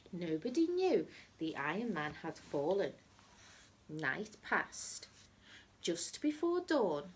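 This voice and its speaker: A woman reading a children's story aloud like a teacher, in a dramatic, deliberate storytelling manner. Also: on the low side, a little hiss or hum. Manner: dramatic, deliberate storytelling with emphatic repetition and hushed phrases.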